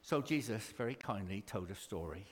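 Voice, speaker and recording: A man with a mission group giving a chatty church talk and a children's story, halting with fillers. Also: fairly low pitch, expressive, a little hiss or hum. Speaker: mission worker speaking at church. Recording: echoey hall reverb.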